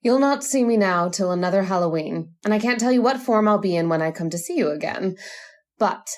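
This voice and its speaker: A woman's voice: mid-range voice, darkish tone, natural voice. Seductive, commanding witch, played by an actress. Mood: tender, ominous. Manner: coaxing, imperious, teasing. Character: seductive, commanding immortal witch bride, eerily coy. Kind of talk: old-time radio horror acting.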